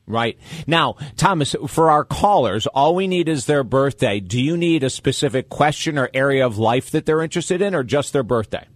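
A man's voice: medium pitch, lively intonation, normal speed, hardly any pauses, dry recording, studio quality. A man hosting a radio talk show, talking with upbeat, enthusiastic promo patter.

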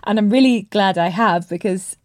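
A woman's voice: medium pitch, moderate pace, no echo, clean studio sound. A woman hosting an interview podcast, speaking as an English teacher to her guest. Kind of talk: podcast interview with scripted intro.

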